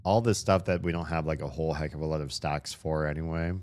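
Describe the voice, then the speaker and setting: A young man giving casual, profane running commentary while playing a video game, his voice slightly hoarse. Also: a bass voice, fairly even intonation, fast talker, darkish tone, no echo. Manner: chatty, swears a lot, talks to himself while playing.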